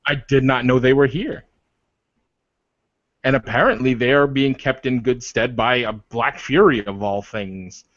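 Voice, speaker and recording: A man's voice: medium pitch, measured pace, fairly bright tone, dry recording, natural voice. A man playing a proud, protective werewolf leader in improvised roleplay, arguing insistently with repeated phrases and pointed questions. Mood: proud, challenging. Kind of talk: improvised in-character roleplay dialogue. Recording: dull, lo-fi voice recording.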